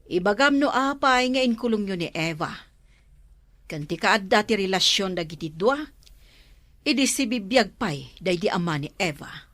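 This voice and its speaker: A woman's voice: mid-range voice, animated, normal speed, fairly bright tone, no echo, lightly acted. A woman plays a protective, authoritative aunt in a radio drama. She sounds stern and middle-aged, with an Ilocano accent and a mid-range voice in a dry studio sound. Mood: interrogating. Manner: firm, authoritative.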